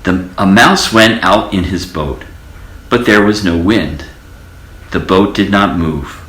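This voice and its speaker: A man reading a children's storybook aloud as an expressive storyteller, in an echoey room. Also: low voice, takes it slowly. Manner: expressive storytelling with character voices. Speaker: storybook reader.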